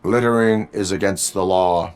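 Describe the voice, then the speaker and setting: Man playing a deep, deadpan cyborg cop. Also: smooth, natural voice. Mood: stern, flat. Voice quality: deep, measured voice. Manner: deadpan, formal, robotic. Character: stern, law-bound male cyborg police officer. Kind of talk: video game acting.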